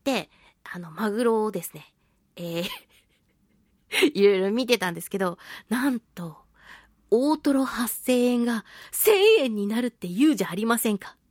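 A woman's voice: on the high side, unhurried, no echo, studio quality. A woman hosting a talk show, telling a personal anecdote in a casual, playful and chatty way. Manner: animated, chatty storyteller with playful self-mockery. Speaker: host of a talk radio show, voice actress.

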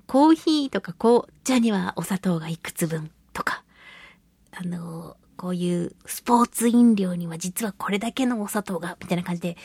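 A woman hosting a casual solo radio talk and reading listener mail, chatty, giggly and expressive, with a slightly breathy voice. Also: medium pitch, no room sound. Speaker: radio show host and voice actress.

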